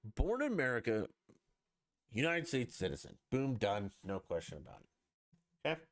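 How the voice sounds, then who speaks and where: A man's voice: mid-range voice, very animated, normal speed, no room sound, studio quality. A man hosting a podcast, talking alone in a blunt, profane, emphatic rant. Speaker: podcast host. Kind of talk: opinionated solo podcast rant.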